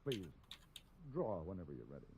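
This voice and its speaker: Smooth gentleman gunfighter, low lively voice, muffled old radio with hiss. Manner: measured, polished, commanding. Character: calm, courtly male gunfighter and gentleman. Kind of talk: 1950s radio drama acting.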